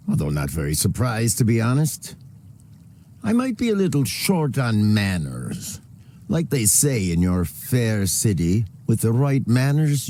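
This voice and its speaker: An arrogant upstart gangster villain from a video game. He talks slowly in a dark, mellow voice with swooping intonation, theatrically polite and sly. There is some noise behind him. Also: fairly low pitch, exaggerated voice. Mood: smug, amused. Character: smooth, arrogant, flamboyant upstart gangster boss.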